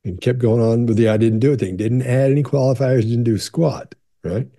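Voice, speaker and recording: Gravelly older man on a home-office webcam. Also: medium pitch, brisk, dark tone. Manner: conversational, repeats and restarts, leans into reassurance. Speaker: body language expert. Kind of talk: casual Q&A talk to camera.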